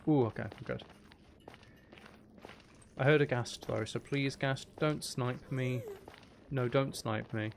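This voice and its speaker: A man's voice: mid-range voice, steady tone, slow pace, gravelly, long pauses, slight background noise. A man giving casual gameplay commentary, rambling and thinking aloud to himself as a gaming video host.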